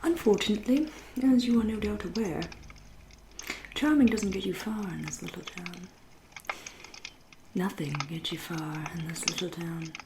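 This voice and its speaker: Woman as a soft, whispery English vampire lady. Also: on the low side, echoey room, noisy background, close to an everyday voice. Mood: coolly polite. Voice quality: soft, breathy, often whispery. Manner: genteel, deliberate, drawn-out phrasing with dry irony. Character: centuries-old aristocratic vampire woman, politely menacing, wryly proud. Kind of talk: ASMR roleplay monologue.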